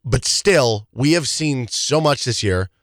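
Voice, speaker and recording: A man with a hoarse, worn voice talks sports in a loose, rambling way, often restarting his sentences. His voice sounds dry and close, with no room around it. His pitch sits in an ordinary middle range. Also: animated, takes it slowly, dry recording. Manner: rambling, many restarts and fillers. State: hoarse, voice partly lost. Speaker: sports talk show co-host. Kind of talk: casual sports chat.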